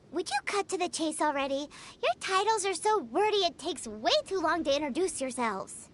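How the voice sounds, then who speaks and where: A woman's voice: sits high, lively intonation, moderate pace, a little hiss or hum. A woman voicing a tiny, childlike fairy companion in a video game, cheerful, cheeky and blunt. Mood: exasperated. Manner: speaks of herself in third person, blunt, chirpy.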